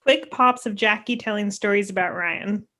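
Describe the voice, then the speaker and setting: Female narrator for screenplay stage directions, medium pitch, video-call sound. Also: normal speed, pushed and bright. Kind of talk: scripted narration. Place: indoor room on a video call.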